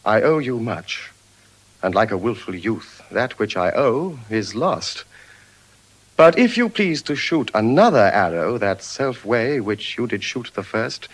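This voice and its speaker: An actor with a British accent playing an ardent, spendthrift young Venetian gentleman, in eloquent classical Shakespearean stage style. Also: mid-range voice, low-quality recording. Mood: persuasive. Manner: eloquent, measured verse delivery with expressive swells.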